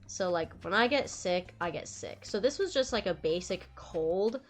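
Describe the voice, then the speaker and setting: A woman's voice: fairly low pitch, normal speed. A young woman streaming a game, chatting casually with viewers while stuffed up and nasal from a cold. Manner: chatty, rambling, lively. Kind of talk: casual live-stream chat while gaming.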